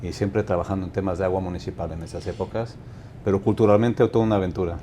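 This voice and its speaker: A man in his fifties from Mexico, a company director answering interview questions in a measured, thoughtful way, often restarting his sentences. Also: sits low, steady tone, dark tone, a little hiss or hum. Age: 50s.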